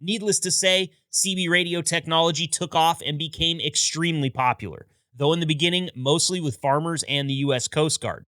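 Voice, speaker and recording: Male podcast host, scripted storyteller, crisp forceful tone, slightly high voice, close dry sound. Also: a little fast, few pauses, no room sound. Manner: upbeat, storytelling delivery with wry asides. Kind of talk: scripted narration.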